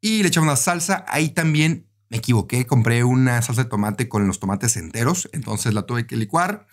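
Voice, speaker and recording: A man's voice: mid-range voice, animated, normal speed. A man with a Mexican Spanish accent giving a casual, conversational recipe voice-over, speaking directly to the viewer as a cooking host.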